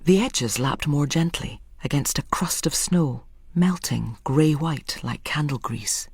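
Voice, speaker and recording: A woman's voice: low-pitched, slow, husky, no echo. A woman narrating a literary story in a scripted, dramatic style.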